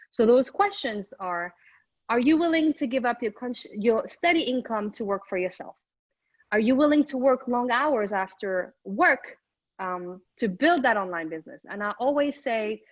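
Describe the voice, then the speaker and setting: A woman's voice: mid-range voice, no room sound, highs cut off. A middle-aged woman from Morocco giving an upbeat, chatty business presentation remotely, with frequent ums and restarts. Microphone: remote call microphone.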